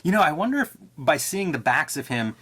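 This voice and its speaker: A man's voice: fairly high pitch, very animated, a little fast, bright, forceful tone, slightly rough. A man co-hosting a discussion show, chatting casually and thinking through his points in an explanatory, reflective way. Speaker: co-host of a comic book discussion show. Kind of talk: casual analytical chat.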